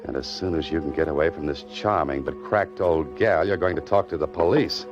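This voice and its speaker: An actor playing an exasperated, sardonic writer roped into trouble in a 1940s radio drama. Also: male voice, a bass voice, a little fast, over music. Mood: wry, resigned. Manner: dry, sardonic, protesting. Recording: dull vintage 1940s radio recording.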